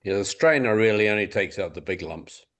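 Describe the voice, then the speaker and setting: Low-pitched man giving technical advice. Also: animated, brisk, fairly bright tone. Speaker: experienced gearbox rebuilder. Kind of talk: technical advice on a group conference call. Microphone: conference call.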